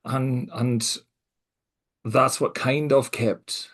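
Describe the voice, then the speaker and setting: A middle-aged man with a mixed Scottish and American accent, co-hosting a casual podcast conversation and speaking reflectively, with halting restarts and soft laughs. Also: medium pitch, steady tone. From Scotland. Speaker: co-host.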